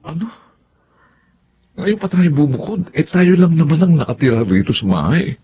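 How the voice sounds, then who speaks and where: A Filipino actor playing a middle-aged husband in a radio drama, placating and a little dismissive. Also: male voice, fairly high pitch, sing-song, normal speed, dark tone, slightly rough, full character voice. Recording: slightly dull, muffled sound.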